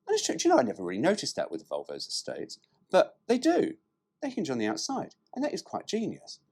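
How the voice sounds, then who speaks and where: A man presents in a mid-range voice with a husky edge. His intonation leaps about constantly as he moves between reading copy aloud and making irreverent asides. His manner is cheeky and informal, with some swearing. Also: high voice, huge pitch swings, quick pace, a little mellow. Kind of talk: reading a car brochure aloud with joking asides.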